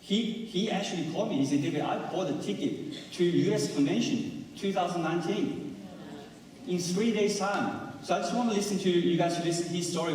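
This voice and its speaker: A man moderating a live panel, telling stories in an emphatic, animated way. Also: a little high, a little hiss or hum. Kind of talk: live panel talk on stage. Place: large event hall. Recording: echoey hall, distant mic.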